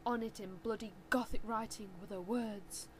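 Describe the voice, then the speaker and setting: A woman's voice: a little high, steady tone, moderate pace, darkish tone, slight background noise. A woman giving a theatrical, mock-serious dramatic reading of fan fiction as narrator. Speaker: reader.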